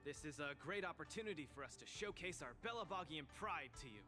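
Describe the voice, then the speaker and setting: Eager young fighter dude in a game, highish voice, super animated, proud. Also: male voice, fast. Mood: earnest. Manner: eager, fervent. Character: earnest, hot-blooded young male fighter, proud. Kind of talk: video game voice acting. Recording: music behind the voice.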